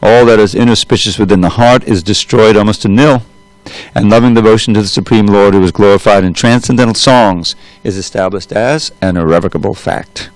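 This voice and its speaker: A man leading a devotional scripture class, reciting opening prayers slowly and in a measured way into a room microphone in a temple. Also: fairly low pitch, no echo, rough recording. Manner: loud voice. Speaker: class speaker reading scripture. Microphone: room microphone with adjustable volume.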